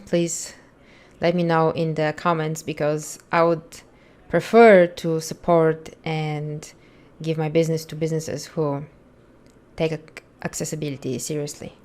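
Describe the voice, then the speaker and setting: A woman's voice: low-pitched, darkish tone. A woman whose first language is Bulgarian, an accessibility instructor thinking aloud in a candid, blunt way while she tests a website for a screen-recorded tutorial.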